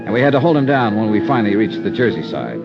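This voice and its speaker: A man's voice: lowish voice, big pitch swings, fast, loud music behind the voice. A man playing a blunt, wry, hard-boiled investigator with an American accent in 1950s radio detective style. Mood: brisk narrating. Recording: muffled vintage radio recording.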